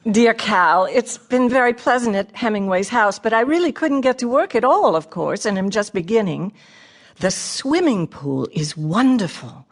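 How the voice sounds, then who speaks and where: Wry female poet, lively intonation. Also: mid-range voice, moderate pace. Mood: amused. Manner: dry wit, precise. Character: shy, wry, observant female poet writing to a friend. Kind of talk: radio theatre, reading letters and verse aloud.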